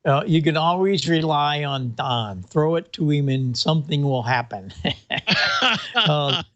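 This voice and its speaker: A man's voice: on the high side, measured pace, highs cut off. A man hosting a show in casual chat, easygoing and joking. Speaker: show host.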